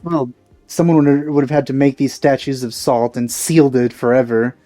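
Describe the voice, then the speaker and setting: A man hosting a let's play, giving casual, low-key, musing commentary on a game. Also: fairly even intonation, normal speed, mellow, soft background music.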